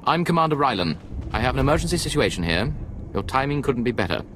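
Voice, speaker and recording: A man's voice: medium pitch, animated, fast, noisy background, lightly acted. An actor playing a businesslike male commander in a video game, giving an urgent, formal military briefing. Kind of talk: video game cutscene acting.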